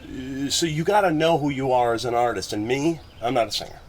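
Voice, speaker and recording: Gravelly-voiced man over clear background noise. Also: medium pitch, brisk. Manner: rambling, colloquial, occasional swearing. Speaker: podcast guest, musician. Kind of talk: casual podcast conversation.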